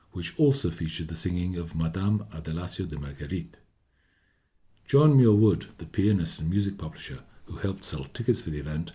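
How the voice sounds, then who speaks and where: A man delivering scripted documentary narration in a measured, formal manner. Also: low-pitched, mellow.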